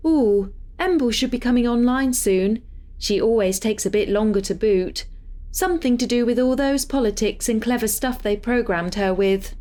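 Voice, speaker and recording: Woman playing a breezy AI hacker, slightly high voice, teasing, chatty, sci-fi audio drama. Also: normal speed, dark tone, lightly acted. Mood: playful. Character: cheeky, chatty female artificial intelligence hacker sharing a body.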